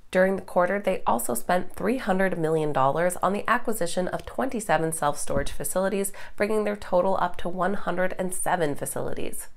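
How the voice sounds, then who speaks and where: Woman in her 30s, market news host, a little congested with a cold, lowish voice, brisk upbeat read. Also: vocal fry. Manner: brisk, upbeat presenter delivery. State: stuffed up from a cold. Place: studio, green screen backdrop.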